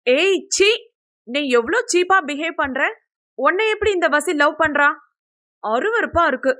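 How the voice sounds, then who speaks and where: A woman acts out a haughty young woman rival in an audio novel. She is high-pitched, scornful and snapping, with a sneering edge. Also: lively intonation, unhurried, sharp tone, full character voice. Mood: indignant, scornful. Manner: snapping, sneering, mixes in English.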